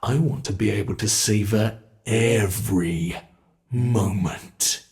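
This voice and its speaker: A sadistic monster from under the bed, voiced by a British man, speaking in a gravelly, unhurried drawl. He lingers over every word, mocking and menacing his captive with slow relish. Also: fairly low pitch, a little mellow. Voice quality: gravelly, slow, drawn-out delivery. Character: cruel male monster under the bed, toying with prey. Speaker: ASMR roleplay performer. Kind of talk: ASMR horror roleplay.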